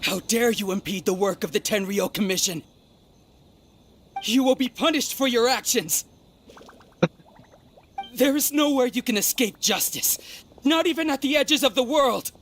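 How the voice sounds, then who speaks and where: Officious game guard: high for a man, stern, blustering, declamatory, faint background noise. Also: lively intonation, a little slow, pauses often, fairly bright tone, full character voice. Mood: indignant, threatening. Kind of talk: English video game voice acting.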